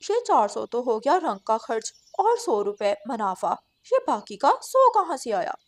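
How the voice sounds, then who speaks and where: A woman's voice: fairly high pitch, sing-song, a little slow. A woman voicing a dissatisfied, scolding crow wife in a children's cartoon, full of complaint. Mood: suspicious. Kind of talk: Hindi children's cartoon dialogue.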